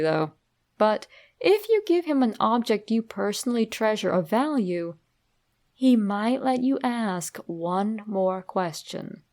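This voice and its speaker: A woman narrates a creepy story as if speaking right to you. Her delivery is slow and dramatic, with a strong fry in a mid-pitched voice. She reads deliberately, like a storyteller laying out instructions. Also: a little mellow. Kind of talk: scripted creepypasta narration, addressed to the listener.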